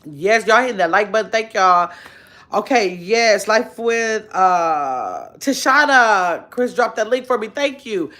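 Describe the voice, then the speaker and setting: A woman in her fifties, chatting casually on a livestream from her bedroom, reading viewer comments aloud in an animated, talkative way. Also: mid-range voice. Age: 50s. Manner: chatty, animated, drawn-out exclamations. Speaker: livestream host. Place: bedroom at home, indoors. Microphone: phone held close at arm's length.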